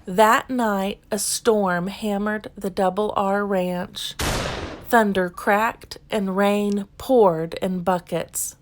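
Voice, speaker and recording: A woman reading a children's storybook aloud in an expressive, deliberate storytelling manner. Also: medium pitch. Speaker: children's book reader. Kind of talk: expressive scripted storybook narration.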